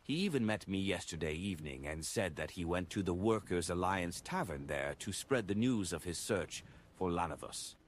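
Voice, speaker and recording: Man playing a rambling drunk, swooping in pitch. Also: expressive, moderate pace, a little hiss or hum. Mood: rambling, sighing. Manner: rambling, droning. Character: drunken, sighing man reporting on a drowned friend. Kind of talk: audiobook character dialogue.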